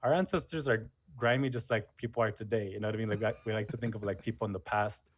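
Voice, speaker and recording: A history teacher talking casually as an interview guest, full of "you know" and "um" fillers. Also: male voice, on the low side, fairly even intonation, quick pace. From United States. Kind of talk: casual conversation.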